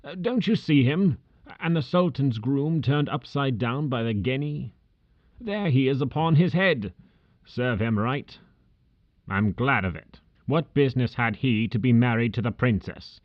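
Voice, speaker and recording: A man voicing an old miser who stammers excitedly between laughing and crying. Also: medium pitch, takes it slowly, hardly any pauses, no room sound, dull audio, voice pushed up. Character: old miserly man overcome with joyful nostalgia.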